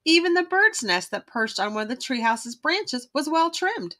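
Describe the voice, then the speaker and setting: A woman with a bright, fairly high voice reads a children's storybook. Her delivery is expressive and emphatic, with very lively pitch. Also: normal speed. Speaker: read-aloud narrator.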